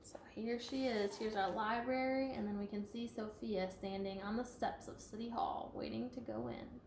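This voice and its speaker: A woman reading a children's picture book aloud with expressive emphasis and chatty asides to listeners. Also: a little high, normal speed, creaky, a little hiss or hum. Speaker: storybook reader. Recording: echoey room.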